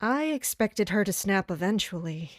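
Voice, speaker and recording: A serious, duty-bound young woman of rank in a fantasy kingdom, played by an actress. She speaks in a measured, formal, reserved manner at a medium pitch. The sound is dry and close, as in an audio drama. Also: expressive, no room sound, lightly acted. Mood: matter-of-fact.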